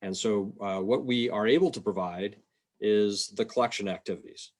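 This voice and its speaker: Low-voiced man presenting, a bit halting. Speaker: state revenue agency policy presenter. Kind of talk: informal slide presentation on a conference call. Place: indoors, on a video call. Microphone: video call microphone.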